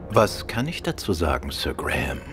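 Ein Schauspieler spielt in einem Hörspiel einen weltgewandten, ironisch neckenden Krimiautor und Amateurdetektiv. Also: male voice, sits low, wildly swooping pitch, normal speed, a bit bright, soft background music, heavily acted. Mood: wry, reserved. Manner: suave, ironic, playful. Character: urbane, teasing gentleman novelist and amateur detective. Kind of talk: German radio play acting.

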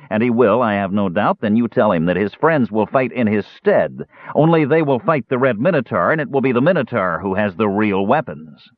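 A man voicing a shrill, scheming male dwarf slave-owner who snarls, sneers and cackles. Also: no echo. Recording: dry, phone-like narrow band.

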